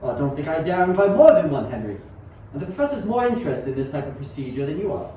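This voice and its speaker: A man's voice: fairly high pitch, sing-song, fast, dark tone. A young man acting an earnest, obsessive 19th-century scientist in an amateur radio play, with dramatic, formal delivery. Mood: polite, eager. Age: young adult. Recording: very echoey, distant, dull, faint noise.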